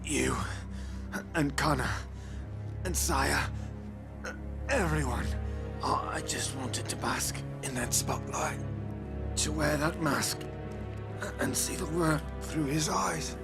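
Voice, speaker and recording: An actor playing a megalomaniac, envious video game villain with a measured, taunting, theatrical delivery. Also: male voice, on the high side, vocal fry. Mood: bitter, cold. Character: megalomaniac male villain, envious and mocking, craving to remake mankind. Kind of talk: video game cutscene acting. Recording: loud music over the voice.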